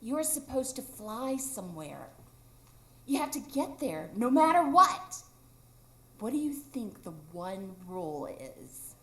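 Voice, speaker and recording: A woman's voice: fairly high pitch, very animated, slow pace, pushed and bright, full character voice. A woman acting a playful, carefree and stubborn ageless boy in a live radio drama. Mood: playful, excited. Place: improv theatre stage, live audience. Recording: roomy live stage recording.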